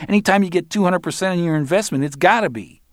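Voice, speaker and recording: A man's voice: high-pitched, quick pace, no room sound, clean studio sound, voice pushed up, close to an everyday voice. A man voicing a cocky, mocking young stoner with a slangy, whiny delivery in an audiobook. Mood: defensive, cocky.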